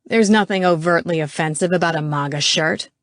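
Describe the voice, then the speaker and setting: A woman's voice: lowish voice, brisk, dry recording, clean studio sound, natural voice. A woman voicing a belligerent male school coach with a dismissive, needling manner in an audiobook. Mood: scoffing. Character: scoffing, belligerent man, school football coach.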